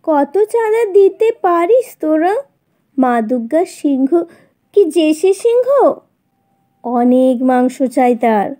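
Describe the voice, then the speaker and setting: A woman voicing a calm, teasing, affectionate old grandmother, matter-of-fact and unhurried, in a children's audio story. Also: high-pitched, exaggerated voice.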